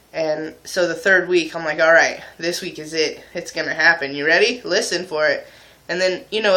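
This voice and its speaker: Bright-voiced young man chatting candidly. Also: high-pitched, poor audio. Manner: candid, informal, self-mocking. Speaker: video blogger. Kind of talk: casual confessional chat to viewers.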